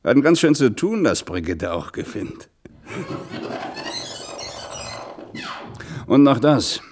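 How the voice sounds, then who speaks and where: An actor playing a bossy, pragmatic restaurant boss giving curt instructions in colloquial German radio drama. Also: male voice, mid-range voice, sing-song, moderate pace, darkish tone, slight background noise, natural voice. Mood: bossy, conspiratorial. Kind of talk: German radio play acting, colloquial monologue.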